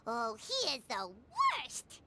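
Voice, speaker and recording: A woman voicing a tiny, childlike, cheeky and blunt fairy companion in a video game, squeaky and excitable. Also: slow, very noisy, full character voice. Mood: exasperated. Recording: loud music behind the voice.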